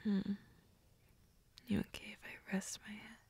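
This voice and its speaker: A woman playing a shy, affectionate young woman in an intimate roleplay audio, speaking softly and breathily, often near a whisper, with halting stammers. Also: mid-range voice, level tone, husky. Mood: tender, proud. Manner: hesitant, halting, with nervous stammers.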